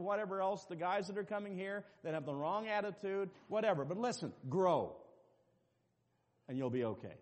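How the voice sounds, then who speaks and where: A man preaching a sermon as pastor, dropping to quiet asides and rising to loud, emphatic calls to the congregation. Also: sits high. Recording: long reverb with faint music behind.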